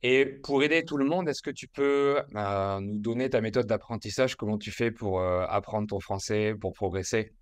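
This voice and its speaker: A man's voice: on the low side, moderate pace, few pauses, smooth, dry recording. A middle-aged man hosting an interview on a video call, explaining things in an encouraging way through a headset mic. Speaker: host, French teacher. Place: small room at home.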